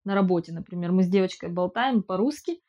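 A woman's voice: fairly low pitch, fast, a little mellow, lo-fi sound. A woman who grew up speaking Russian and now lives in Israel, chatting casually and hesitantly, with many fillers and restarts. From Russian-speaking immigrant living in Israel. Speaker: interviewee. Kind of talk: casual conversation.

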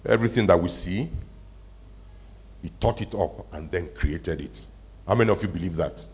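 A man, a pastor preaching a conversational sermon to his congregation, often asking them questions and slowing down to stress a point. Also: low-pitched, lo-fi sound. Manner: conversational, asks the congregation questions, slows for emphasis. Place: church hall with congregation.

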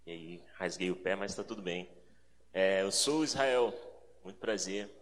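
A man's voice: low voice, normal speed, a little hiss or hum. A man from Rio de Janeiro with a carioca accent preaches as a guest in an informal, reflective way, repeating phrases for emphasis. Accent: carioca Brazilian Portuguese. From Rio de Janeiro, Brazil. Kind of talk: sermon, conversational with prayer and scripture reading. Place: large reverberant indoor meeting space, speaking to a congregation. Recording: reverberant hall sound.

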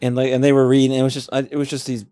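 A man's voice: mid-range voice, completely flat delivery, rapid-fire, warm tone. Fussy podcast co-host man. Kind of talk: casual podcast chat.

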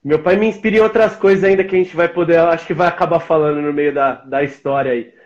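A man in his forties from São Paulo, with a São Paulo accent, chatting in a relaxed, slangy way as a guest on a live-stream video call. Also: fairly high pitch, fast, dull audio. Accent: São Paulo Brazilian Portuguese. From São Paulo, Brazil. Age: 40s. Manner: slangy, laid-back, chummy. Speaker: interview guest. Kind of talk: casual live-stream interview.

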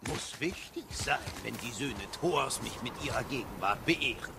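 An actor playing an ancient, boastful know-it-all captive in an audio drama, eloquent and sardonic. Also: male voice, medium pitch, lively intonation, moderate pace, vocal fry, very noisy. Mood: sardonic, defiant.

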